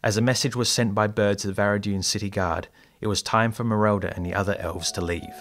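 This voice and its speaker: An actor playing an adventurer's companion who narrates a fantasy audio drama in scripted storytelling style. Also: male voice, fairly low pitch, fairly even intonation, quick pace, fairly bright tone. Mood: measured.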